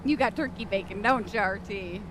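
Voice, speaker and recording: A woman's voice: mid-range voice, a little fast, background noise. A woman co-hosting a live stream, chatting casually and reading chat messages aloud with frequent laughs. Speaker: stream co-host.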